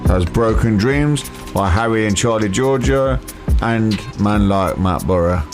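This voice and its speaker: A man's voice: on the low side, expressive, a little slow, music in the background. A British man hosting a radio show, chatting casually and informally with slangy phrasing. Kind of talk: casual radio interview chat.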